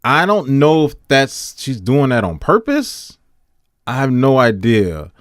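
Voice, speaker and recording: American guy reacting off the cuff, super animated pitch, slangy and incredulous, dry close sound. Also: takes it slowly, dry recording. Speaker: reaction video commentator. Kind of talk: casual off-the-cuff commentary.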